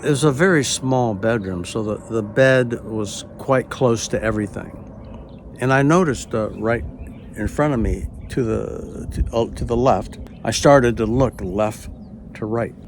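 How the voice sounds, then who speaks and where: Slow, gravelly older man telling a story. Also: medium pitch, slight background noise. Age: late 70s. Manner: hesitant, full of pauses and uh's, drops to near-whispers. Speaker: storyteller recounting a personal experience. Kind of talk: unhurried spoken personal narration.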